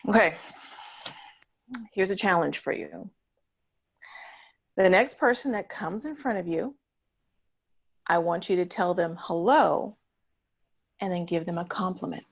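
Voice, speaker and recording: Supportive female friend, played by an actress: gentle challenge, playful teasing, husky low register, table read. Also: a little slow, pauses often, exaggerated voice. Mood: encouraging, teasing. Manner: teasing, encouraging. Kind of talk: screenplay table read acting.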